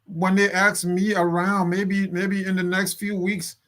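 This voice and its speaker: A fairly high-voiced man with a Liberian accent speaks slowly and deliberately over an online call. He sounds indignant and forceful, hammering his points home by repeating them. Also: little pitch movement. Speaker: political commentator guest. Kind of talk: impassioned political commentary.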